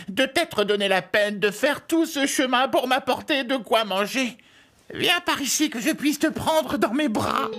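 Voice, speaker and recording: A woman voicing a sly wolf who poses as a sweet old grandmother in a children's cartoon fairy tale, sugary and coaxing. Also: sits high. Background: music.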